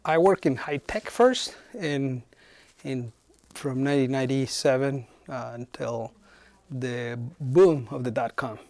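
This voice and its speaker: A middle-aged man speaks in a thoughtful, unhurried way and often hesitates mid-sentence. His voice sits at an ordinary middle pitch. The recording is dry, with almost no room sound. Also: darkish tone, no room sound. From Chile. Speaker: head of human resources. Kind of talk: interview answers.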